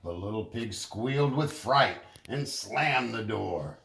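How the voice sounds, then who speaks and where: Slow, animated man reading a storybook. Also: lowish voice, creaky, echoey room. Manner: expressive, deliberate storytelling. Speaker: storybook reader. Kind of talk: animated children's story narration.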